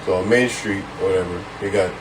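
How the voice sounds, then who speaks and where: Laid-back low-voiced man, off-mic. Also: steady tone, brisk, recorded from a distance, background noise. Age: 20s. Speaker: podcast co-host. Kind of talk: casual chat.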